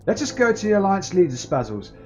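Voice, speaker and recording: Man voicing a resolute, high-pitched fantasy leader. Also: very animated, muffled recording, soft background music. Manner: formal, measured declamation.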